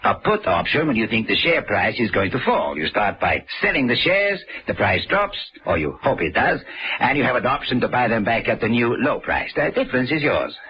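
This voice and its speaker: Brisk, very animated stockbroker. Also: male voice, medium pitch, low-quality recording. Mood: knowing. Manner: brisk, clubbable, digressive. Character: brisk, avuncular male stockbroker mad on fishing. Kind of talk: vintage British radio drama acting.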